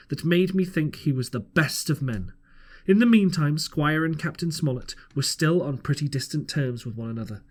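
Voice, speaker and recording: A man narrating an audiobook as an amateur reader, earnest, with the odd stumble over the text. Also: fairly high pitch. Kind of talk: scripted storybook narration.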